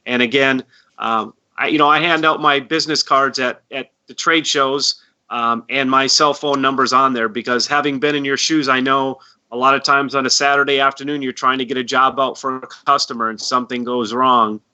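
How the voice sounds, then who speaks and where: A man with a very bright, sharp voice gives informal interview answers as a company expert. He sounds earnest and explanatory. The recording is dull and lo-fi. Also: mid-range voice, fairly even intonation, moderate pace. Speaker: company representative, guest expert.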